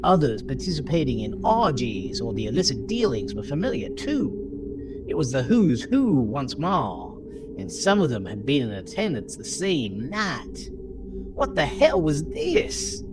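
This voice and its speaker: A man narrating a horror story in a dramatic, theatrical style, with emphatic phrasing and deliberate pauses. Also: medium pitch, huge pitch swings, poor audio. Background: music.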